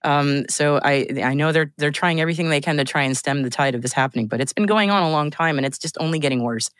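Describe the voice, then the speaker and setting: A woman's voice: low voice, fast talker, no room sound. A woman guest on a podcast, chatting casually, laughing often and restarting her sentences. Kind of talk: casual chat.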